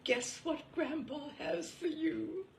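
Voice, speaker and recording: A woman's voice: sits high, slow pace, vocal fry, darkish tone, roomy sound, slight background noise, pitched above the performer's own voice, heavily acted. A woman voicing a doting grandfather who coaxes and soothes a small grandchild in a raised, sing-song voice. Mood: coaxing.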